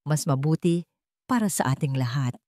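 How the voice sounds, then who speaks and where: A woman's voice: deep voice, normal speed, no echo, clean studio sound. A woman voicing an icy, wounded female chief executive in an audio romance, guarded, with a Filipino accent. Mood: cold, lifeless. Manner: flat, guarded.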